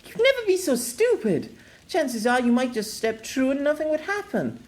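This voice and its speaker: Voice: high voice, big pitch swings, normal speed, voice pushed up. A narrator voicing a young magical woman, tearful but resolute, in an audiobook. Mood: urgent. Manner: pleading, emotional. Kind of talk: audiobook character voice.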